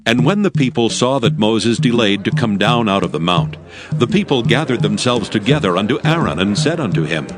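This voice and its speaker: A man narrating scripture in a measured, formal, scripted style for an audio drama. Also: on the low side, lively intonation, soft background music.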